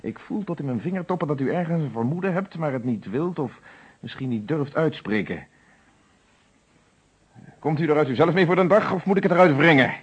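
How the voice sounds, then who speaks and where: An actor playing a stern, probing male police inspector in an old Dutch radio detective play, speaking formally and with measured authority. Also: fairly high pitch, animated, slightly rough. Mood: pressing. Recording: dull lo-fi old radio recording.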